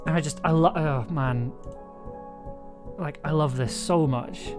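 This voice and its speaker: A streamer chatting casually while working, thinking aloud in a hesitant way and trailing off, with a medium-pitched voice. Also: a little slow. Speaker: streamer, audio drama producer and composer. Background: music playing, keyboard typing.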